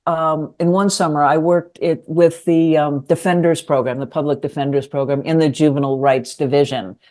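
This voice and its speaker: An older woman from New York City, a lawyer and writer, answering interview questions in a thoughtful, hesitant way with many pauses. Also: sits low, fairly even intonation, mellow. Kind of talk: conversational interview.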